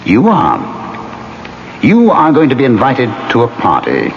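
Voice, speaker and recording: A man's voice: mid-range voice, wildly swooping pitch, heavily acted. Suave scheming ghost man in old 70s radio play, taunting, really swoopy intonation, noisy old tape. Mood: smooth, calculating. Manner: suave, taunting, deliberate, theatrically precise. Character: smug, scheming male ghost plotting his wife's murder. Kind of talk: 1970s radio drama acting. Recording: dull, noisy old radio broadcast recording.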